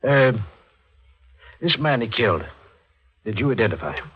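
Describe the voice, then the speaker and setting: An actor playing a learned psychiatrist in a 1940s radio drama, speaking in a measured, formal, clinical manner. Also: male voice, medium pitch, sing-song, breathy edge, highs cut off. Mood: clinical, calm.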